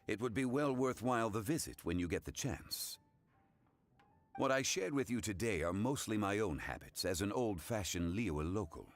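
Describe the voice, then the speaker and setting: A man voicing a courteous, old-fashioned gentleman tea connoisseur in a video game, speaking in a formal, measured, instructive manner. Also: on the low side.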